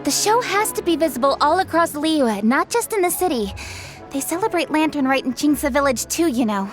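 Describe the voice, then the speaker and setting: Brisk driven official girl, high-pitched, faint music. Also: expressive. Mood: businesslike. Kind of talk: video game voice acting.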